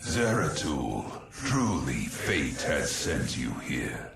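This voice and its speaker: A grave alien warrior speaks with devotion in an extremely deep, gravelly tone. The voice echoes from afar, as in video game dialogue. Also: male voice, big pitch swings, slow, a bit bright, faint music behind, full character voice. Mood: reverent. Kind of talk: dramatic video game voice acting. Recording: echoing, distant from the mic.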